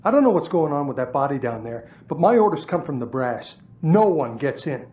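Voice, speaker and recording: Animated, bitter desk sergeant. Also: male voice, a little high, brisk, muffled recording. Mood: weary, resentful. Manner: aggrieved, defensive, emotional. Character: bitter, self-pitying, demoted male police desk sergeant. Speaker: video game character. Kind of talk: cinematic game dialogue.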